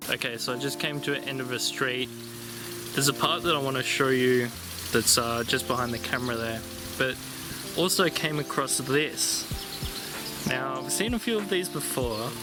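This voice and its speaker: A young Australian man from Tasmania talking casually into a handheld camera while walking outdoors in windy weather, with lots of fillers and quick bursts of excitement. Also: mid-range voice. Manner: chatty, filler-heavy, easily excited. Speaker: travel vlogger. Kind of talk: casual vlog narration. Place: outdoors, windy riverside in a small town. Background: loud music.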